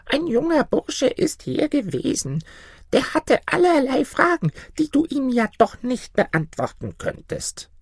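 A man voicing an ancient, crafty little old woman in a fairy-tale reading, with a thin, breathy falsetto and a slow, coaxing manner. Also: huge pitch swings, dry recording, studio quality, full character voice. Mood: soothing.

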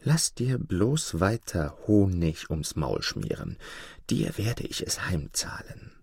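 A man voicing a sly, servile male fox who hides his scheming behind humble, soft-spoken flattery. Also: measured pace, slightly rough, no room sound, clean studio sound, full character voice. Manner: obsequious, soft and high, sometimes whispering.

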